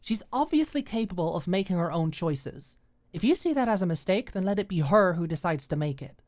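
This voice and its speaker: A woman voicing a principled, protective young woman in an audio drama, emphatic and quick to show feeling. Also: lowish voice, lively intonation, darkish tone, dry recording, voice pushed up, lightly acted. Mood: firm. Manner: firm, exclaiming, emphatic.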